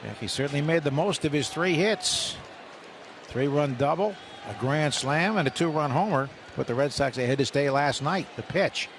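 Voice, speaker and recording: A man doing radio play-by-play of a baseball game, rising sharply in pitch when calling live action. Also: animated, moderate pace. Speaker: play-by-play announcer. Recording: radio broadcast with loud ballpark noise.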